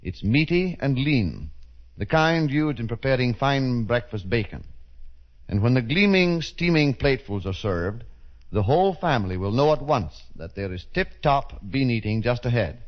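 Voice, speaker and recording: A man's voice: mid-range voice, very animated, normal speed. A man reading scripted announcements as a 1930s radio announcer, with a polished, formal delivery. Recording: dull, lo-fi 1930s radio recording.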